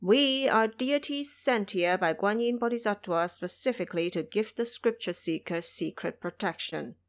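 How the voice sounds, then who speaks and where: A woman's voice: medium pitch, normal speed, lightly acted. A solemn heavenly guardian voiced by a woman in an audio drama. The deity declaims formally and with stately authority, like an official announcing titles. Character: solemn heavenly guardian deities speaking from the air.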